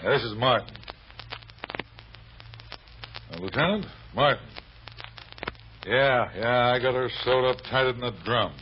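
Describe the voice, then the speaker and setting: A man's voice: mid-range voice, normal speed, close to an everyday voice. Dull, noisy vintage recording of an American police sergeant played by a man. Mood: brisk, confident. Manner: clipped tough-cop slang, placating his superior. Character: dogged, deferential police sergeant reporting by phone. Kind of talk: old-time radio drama acting.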